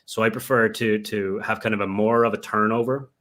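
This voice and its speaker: A man's voice: on the low side, fairly even intonation, a little fast, fairly bright tone. A young Irish man answering interview questions at length, rambling with many fillers and restarts. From Ireland. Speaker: guest, Lego investor.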